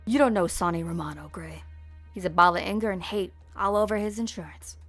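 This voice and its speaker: A woman's voice: on the low side, fairly quick, faint music behind, lightly acted. A woman voicing a jaded, hard-bitten police officer in a game fan dub. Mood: curt, irritated.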